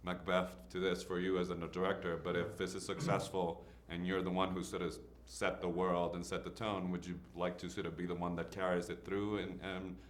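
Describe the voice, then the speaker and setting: A man from Puerto Rico, a native Spanish speaker, asking questions as an interviewer and rephrasing them haltingly as he goes. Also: low voice, flat delivery. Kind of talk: conversational press questioning. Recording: faint background noise.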